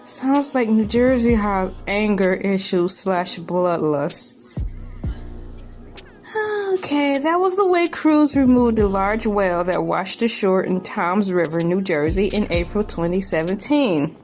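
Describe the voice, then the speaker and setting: A woman reading news aloud as a humorous online creator, dropping in sarcastic, joking asides with theatrical, drawn-out emphasis. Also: medium pitch, a little mellow. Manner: sarcastic, joking asides between read lines. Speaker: online video creator reacting to a news article. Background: background music.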